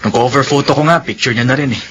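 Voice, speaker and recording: A man's voice: medium pitch, fast talker, fairly bright tone, a little hiss or hum, close to an everyday voice. A man playing a proud, stubborn young tricycle driver in love, speaking indignantly and earnestly in a melodrama. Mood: resolute. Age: young adult. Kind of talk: melodramatic radio drama acting.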